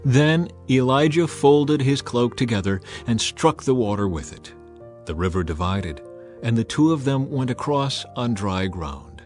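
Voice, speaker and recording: A man narrating a dramatized scripture reading in a measured, solemn, even voice. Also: mid-range voice. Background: faint music.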